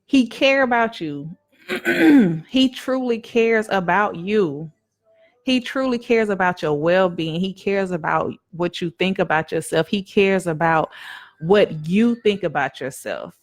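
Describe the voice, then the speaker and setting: A woman's voice: medium pitch, lively intonation, unhurried. A woman minister giving a casual live-streamed devotional talk, emphatic and repetitive in a preaching cadence, addressing viewers as y'all.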